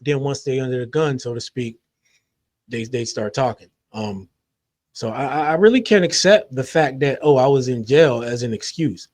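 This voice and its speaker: A man hosting a commentary show, giving his measured, skeptical take and often trailing off quietly. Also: medium pitch, no room sound, studio quality. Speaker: commentary host. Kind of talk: opinion commentary.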